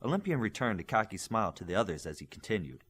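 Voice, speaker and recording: A man's voice: fairly low pitch, big pitch swings, fast, a bit bright, slightly rough. A man reading fiction aloud as scripted audiobook narration, voicing dialogue along the way.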